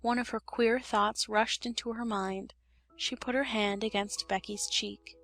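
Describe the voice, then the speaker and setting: A woman reading a children's story as an expressive audiobook narrator. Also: a little high, unhurried, creaky.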